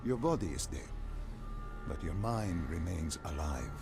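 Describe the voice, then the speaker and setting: Solemn, low-voiced male mystic mentor. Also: sing-song, over music, exaggerated voice. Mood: solemn, guiding. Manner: solemn, instructive, measured. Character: mysterious male mentor speaking inside the mind, solemn. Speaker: video game voice actor.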